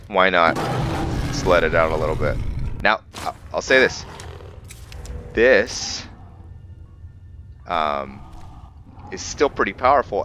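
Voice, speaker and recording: Low voiced guy talking thru his game, bright crisp edge, kinda halting, bit of noise behind. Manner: thinking aloud, halting. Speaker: gaming video host. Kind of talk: casual game playthrough commentary.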